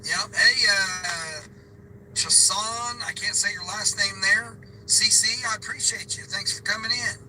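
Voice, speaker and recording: High-voiced chatty man livestreaming from his car. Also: steady tone, unhurried, bright, forceful tone, poor audio. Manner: chatty, rambling, laughs often. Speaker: delivery driver livestreaming. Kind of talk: casual livestream chat, reading viewer comments aloud. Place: parked car. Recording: car ambience hum behind voice.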